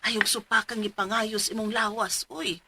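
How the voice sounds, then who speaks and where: A woman's voice: fairly high pitch, level tone, normal speed, sharp tone, slight background noise. An actress playing a concerned, middle-aged mother who nags and scolds in a radio drama. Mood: coaxing. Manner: nagging, exclamatory.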